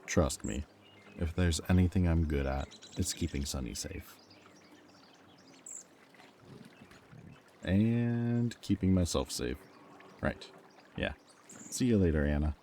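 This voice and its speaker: A man playing a protective, teasing former villain in an audio roleplay, with a wry, casual, halting delivery. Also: deep voice, dark tone, a little hiss or hum. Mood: casual fond.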